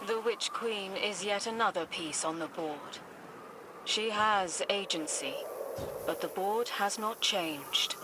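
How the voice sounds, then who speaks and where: A woman's voice: medium pitch, slow pace, background noise, natural voice. An actress voicing an enigmatic, solemn female oracle in a video game, cryptic and portentous. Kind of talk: video game cutscene voice acting.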